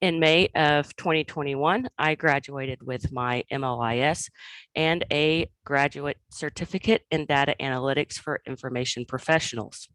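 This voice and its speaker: A woman librarian, hoarse after illness, giving a prepared conference presentation about her own career path in an earnest storytelling way. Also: low voice, normal speed, a bit bright, no room sound, poor audio. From Oklahoma.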